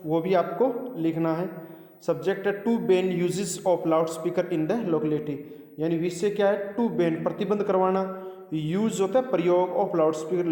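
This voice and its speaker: A man with an Indian accent, a native Hindi speaker, teaching a bilingual English lesson, deliberately repeating phrases and explaining them piece by piece. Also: high-pitched, mellow. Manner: didactic, repeats phrases slowly and deliberately word by word. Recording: echoey, reverberant room.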